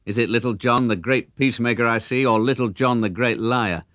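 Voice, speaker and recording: A man's voice: medium pitch, a little fast, fairly bright tone, no room sound, clean studio sound, lightly acted. A man playing a commanding, wry outlaw leader with a declamatory, questioning way of talking. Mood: amused, probing.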